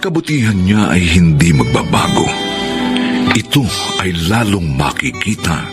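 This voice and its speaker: A man with a Filipino accent announcing and narrating a radio drama in a solemn, theatrical, drawn-out style. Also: low voice, big pitch swings. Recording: loud music bed behind the voice. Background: dramatic music.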